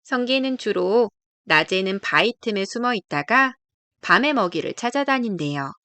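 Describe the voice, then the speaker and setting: A woman's voice: medium pitch, animated, normal speed, pushed and bright, clean tone, dry recording. A woman narrating a scripted children's nature book in a careful, explanatory read-aloud way. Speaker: storybook narrator.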